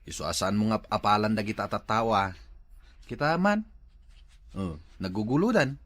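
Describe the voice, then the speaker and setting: Actor as an animated, gossipy Filipino husband. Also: male voice, on the low side, moderate pace. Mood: earnest. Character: gossipy village husband telling the news. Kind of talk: comedy drama acting.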